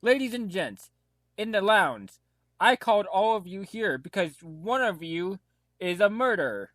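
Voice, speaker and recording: A formal, methodical detective played by a man with a fairly high voice lays out accusations in an audio drama. He declaims his lines, recorded close and dry with no room sound. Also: very animated, a little slow, a bit bright, dry recording, exaggerated voice. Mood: accusing. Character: methodical police detective accusing murder suspects. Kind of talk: amateur audio drama acting.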